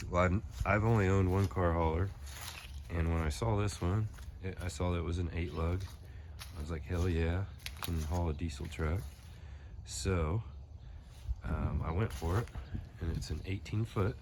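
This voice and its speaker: A man's voice: deep voice, background noise. A young man with a stuffed-up head cold, rambling casually through a phone-filmed how-to vlog. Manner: relaxed rambling with fillers. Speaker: channel host. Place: pole barn, then outdoors on a lawn. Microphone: phone at arm's length, then phone camera behind it.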